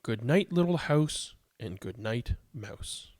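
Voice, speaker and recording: A man with a gravelly voice, hosting and reading bedtime picture books aloud for young children. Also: medium pitch, animated, slow, darkish tone, no room sound. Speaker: host of a children's read-aloud show. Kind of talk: casual chat and bedtime picture book read-aloud.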